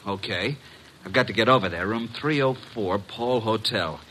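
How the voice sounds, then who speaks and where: An actor playing a brash, quick-witted detective's assistant, breezy and animated, in 1940s radio style. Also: male voice, fairly low pitch. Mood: urgent. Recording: faintly noisy old radio recording.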